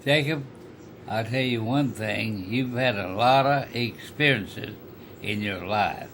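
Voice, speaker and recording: Slow, gravelly man in his nineties. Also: on the low side, fairly bright tone, a little hiss or hum. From Oklahoma, United States. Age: 90s. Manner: halting, rambling, folksy and playful. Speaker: retired preacher, grandfather of the vlogger. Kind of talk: casual reminiscing with family.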